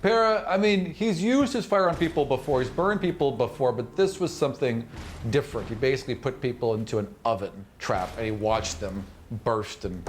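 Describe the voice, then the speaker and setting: A man's voice: medium pitch, brisk, a little hiss or hum. A man from the United States acting as game master, improvising vivid, dramatic narration for a tabletop fantasy game in colloquial language.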